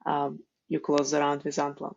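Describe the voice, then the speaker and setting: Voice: medium pitch, completely flat delivery, normal speed, vocal fry. A podcast host asking interview questions in an encouraging way, with lots of "like" and fillers. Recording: dull, dry lo-fi recording.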